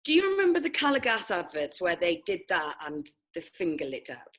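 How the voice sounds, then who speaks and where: A woman's voice: lowish voice, sing-song, fairly quick, a bit bright. A Welsh woman from South Wales, chatting as an interview guest about her school days, laughing often and poking fun at herself. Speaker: head of sixth form, interview guest. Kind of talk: casual interview chat.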